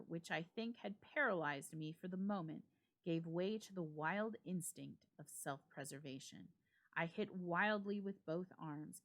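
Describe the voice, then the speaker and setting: A woman storyteller giving a suspenseful, expressive dramatic reading of a ghost story with deliberate phrasing. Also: on the low side. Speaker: storyteller, library reader.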